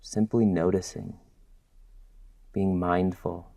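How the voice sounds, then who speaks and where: A man leads a guided meditation in a low, level voice. He speaks very slowly and gently, leaving long soothing pauses between short phrases. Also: flat delivery, gravelly, no echo. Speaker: mindfulness instructor. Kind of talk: guided body scan meditation.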